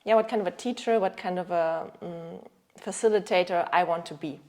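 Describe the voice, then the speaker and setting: Thoughtful woman yoga teacher, slightly low voice. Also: brisk, darkish tone. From Germany. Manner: thoughtful, searching for words with restarts. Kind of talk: casual podcast interview.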